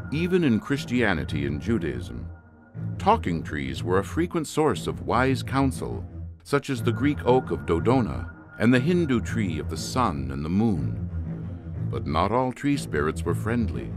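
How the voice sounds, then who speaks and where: An actor playing an ominous narrator who introduces a suspense tale in measured, portentous, scripted style. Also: male voice, fairly low pitch.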